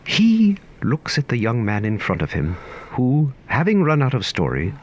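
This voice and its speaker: A man narrating a scripted story in a theatrical, wry, literary manner with deliberate phrasing. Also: mid-range voice, huge pitch swings, muffled recording.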